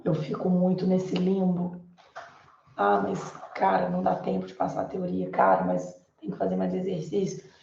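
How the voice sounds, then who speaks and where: A Brazilian woman teacher with a hoarse voice from a viral illness, lecturing informally in an online class, full of fillers and tag questions. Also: on the low side, moderate pace, a little mellow, a little hiss or hum. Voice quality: hoarse, gravelly. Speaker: Portuguese teacher. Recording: echoey, dull lo-fi room sound.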